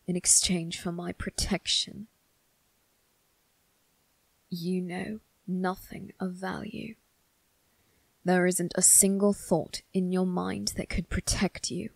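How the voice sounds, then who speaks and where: A woman plays a cold, possessive male vampire in an intimate ASMR roleplay. The voice is low and gravelly, barely rising or falling, with a breathy husk. Every taunt is measured and condescending, delivered close and dry. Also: a little mellow, dry recording, full character voice. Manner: slow, deliberate, measured taunting. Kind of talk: ASMR roleplay monologue addressed to the listener.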